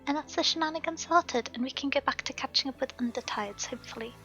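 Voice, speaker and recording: Woman streamer, soft-spoken, slightly hoarse; high, bright tone, faint background music. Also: quick pace. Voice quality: softly spoken. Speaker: game streamer. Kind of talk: casual live-stream chat while gaming. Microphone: desk microphone at home.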